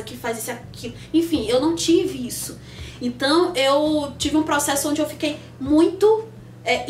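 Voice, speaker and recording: A woman's voice: high voice, roomy sound. Chatty woman in her twenties. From Salvador, Bahia, Brazil. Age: 20s. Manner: chatty, animated, addresses viewers directly. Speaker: YouTube channel host. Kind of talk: casual personal storytelling to camera.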